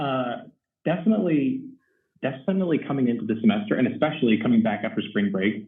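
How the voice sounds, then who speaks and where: A young man from Texas, a first-year choir teacher, talks through his answers with plenty of ums and restarts. His voice sits a little low. He is heard over a distant, echoey, phone-like line. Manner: rambling with many ums and restarts. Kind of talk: casual interview chat.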